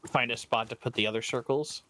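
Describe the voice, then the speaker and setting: A man joining a game stream as a guest and chatting in brief, relaxed reactions. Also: mid-range voice, a little fast, slight background noise. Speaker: guest co-player. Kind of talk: casual gaming chat.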